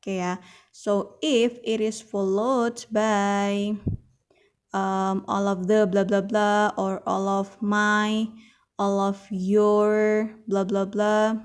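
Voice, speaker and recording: A woman's voice: mid-range voice, flat delivery, slow pace, smooth. A young woman teaching an English grammar lesson, explaining carefully and saying "okay, ya" between points. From Indonesia.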